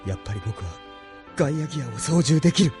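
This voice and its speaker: Man acting an earnest, high-voiced young hero. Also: animated, quick pace, over music, natural voice. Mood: resolved, soft. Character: earnest, anguished young male hero and reluctant pilot. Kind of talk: Japanese audio drama acting.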